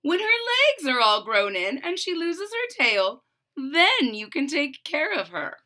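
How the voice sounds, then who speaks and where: A woman reading a storybook as a gentle, reassuring mother frog in a soft sing-song manner. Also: high-pitched, big pitch swings, unhurried, voice pushed up, exaggerated voice.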